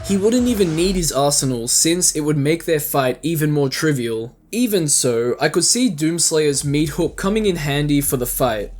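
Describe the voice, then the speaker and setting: A man narrating a scripted fan video essay in an explanatory way with casual asides. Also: fairly high pitch. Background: music.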